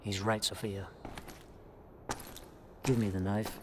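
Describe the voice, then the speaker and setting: An actor playing a pragmatic agent in a video game, firm and insistent. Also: male voice, lowish voice, little pitch movement, slow pace, background noise. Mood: urgent, insistent. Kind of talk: video game cutscene acting.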